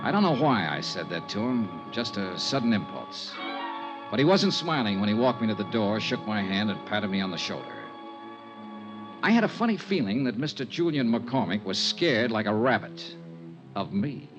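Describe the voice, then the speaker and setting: An actor playing a dry, persistent insurance investigator in a 1950s radio drama, with an American accent and clipped, understated delivery. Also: male voice, on the low side, very animated, normal speed. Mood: wry narrating. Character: dry, persistent male insurance investigator narrating his case. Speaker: investigator and narrator. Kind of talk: 1950s radio detective drama, scripted narration. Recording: dull vintage radio recording, music.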